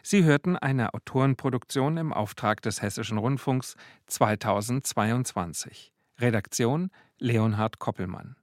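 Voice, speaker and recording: A man's voice: medium pitch, measured pace, hardly any pauses, no room sound. Dry-sounding man announcer. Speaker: radio announcer. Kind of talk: scripted closing credits announcement. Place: dry radio studio.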